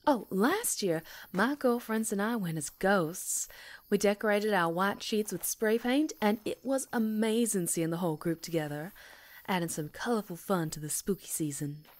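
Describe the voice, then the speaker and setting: A woman's voice: medium pitch, moderate pace, lightly acted. A woman playing a sweet, jittery, chatty young woman in an ASMR roleplay, with a girlish manner. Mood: cheerful.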